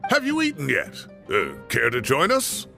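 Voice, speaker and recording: Old grandpa professor in a game, gravelly, super expressive, music playing behind. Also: male voice, mid-range voice, moderate pace, full character voice. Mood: warm, surprised. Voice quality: gravelly, aged. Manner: grandfatherly, expressive, indignant at times. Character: kindly, fussy old male professor. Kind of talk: video game voice acting.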